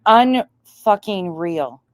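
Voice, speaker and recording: A woman's voice: mid-range voice, lively intonation, extremely slow, no echo. A blunt, sweary, incredulous woman giving casual reaction commentary as a livestream host.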